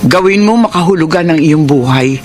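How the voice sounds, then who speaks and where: An actress playing a caring, motherly friend who gives gentle advice in a Filipino radio drama. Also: sits low, animated, a little fast, soft background music. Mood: gently encouraging.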